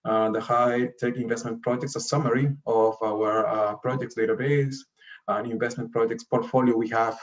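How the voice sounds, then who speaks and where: Man presenting haltingly over dull call audio. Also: mid-range voice, flat delivery. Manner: halting, repeats and rephrases words. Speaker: investment promotion director presenting. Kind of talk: slide presentation to an online summit. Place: remote online video call.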